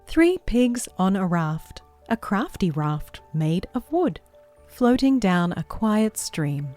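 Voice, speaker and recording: A woman reading a picture book aloud to young children as a story-time host, in a measured, expressive storytelling manner. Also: mid-range voice. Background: music behind the voice.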